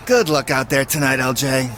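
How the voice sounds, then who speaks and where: A man's voice: mid-range voice, a bit bright. A man playing a guarded, terse backstage veteran in a video game cutscene, speaking in a curt, evasive way. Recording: faint background noise.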